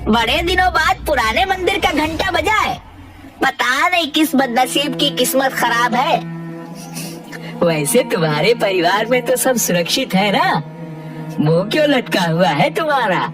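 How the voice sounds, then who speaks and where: A solemn cartoon village priest played by a woman, grave and consoling. The pitch moves expressively, with loud music behind. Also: lively intonation, measured pace. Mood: grave concern. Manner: grave, foreboding.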